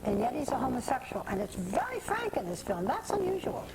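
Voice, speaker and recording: A woman's voice: high-pitched, very animated, brisk, gravelly. A woman co-hosting a talk show, asking questions conversationally. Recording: noisy TV broadcast audio.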